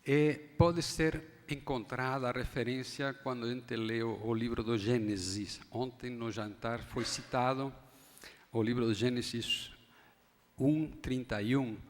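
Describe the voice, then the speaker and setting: A Spanish-born man with a Spanish accent, an academic speaker giving a measured, halting forum talk. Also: mid-range voice, steady tone. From Spain. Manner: measured, halting, restarts phrases. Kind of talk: formal lecture talk. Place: conference hall. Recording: long hall reverb.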